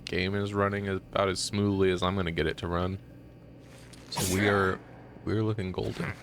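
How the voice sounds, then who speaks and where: A man with a very deep, gravelly, nasal voice chats casually while streaming a game. He sounds stuffed up and sleepy, and he rambles with plenty of fillers. Faint noise hangs in the background. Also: normal speed. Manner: rambling, relaxed, many fillers. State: congested and just woke up. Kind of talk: casual gameplay commentary.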